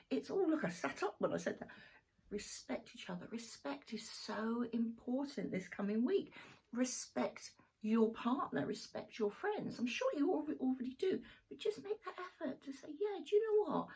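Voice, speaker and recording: Casting: middle-aged woman, husky and croaky, chatty tarot reader talking to camera. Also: sits high, a little mellow, rough recording. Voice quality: gravelly, croaky throat. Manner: chatty, homely, with fillers like "you know". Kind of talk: one-card tarot reading to camera.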